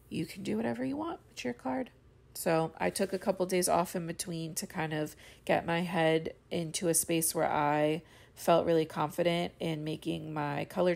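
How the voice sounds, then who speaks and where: Young woman host, low-pitched and heavily gravelly, congested and a bit cracky, chatty voiceover with lots of fillers. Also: darkish tone. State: stuffy and congested. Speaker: card-making channel host. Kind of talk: casual voiceover of a coloring tutorial. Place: home studio voiceover.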